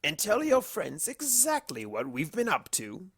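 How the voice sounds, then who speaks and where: A suave male trickster from a cartoon storybook, as a narrator puts him on. He is smug and playful, slow and deliberate, with big swings of pitch in a dry, close recording. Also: wildly swooping pitch, fairly bright tone, slightly rough, dry recording, full character voice. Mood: teasing, deliberate. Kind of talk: storybook character reading.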